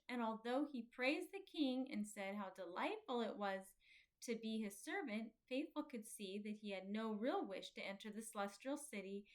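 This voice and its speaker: A woman narrating a children's story from a book in an expressive storytelling manner. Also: a little high. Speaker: storybook reader.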